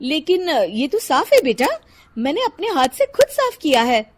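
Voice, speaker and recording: A woman's voice: high-pitched, big pitch swings, measured pace, voice pushed up. A woman voicing a haughty, argumentative housewife and mother in a cartoon story. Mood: bewildered, protesting. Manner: scolding, argumentative.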